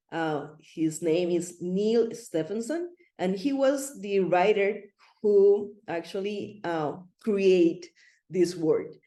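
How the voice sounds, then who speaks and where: A woman's voice: fairly low pitch, dark tone, reverberant. A middle-aged woman from Rica, giving an online presentation in a friendly, rambling way with many fillers and restarts. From Costa Rica. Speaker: web3 consultant presenter.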